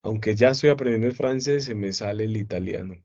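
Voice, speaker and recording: A male psychology teacher giving an online class lecture, explaining slowly and often checking in with students. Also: fairly low pitch, dark tone, lo-fi sound.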